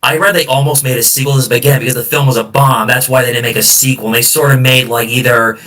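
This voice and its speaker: A man co-hosting a casual movie discussion in a halting, agreeable way, recorded at a distance from the microphone. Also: mid-range voice, fairly even intonation, crowd noise. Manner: halting, often agreeing with co-host. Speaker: film podcast co-host.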